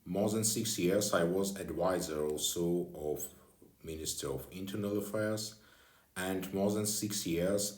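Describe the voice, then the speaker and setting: Slow, deep-voiced older man with Armenian accent. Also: darkish tone, roomy sound. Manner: slow, halting non-native English with pauses and fillers. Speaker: retired official addressing followers. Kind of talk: direct address to camera.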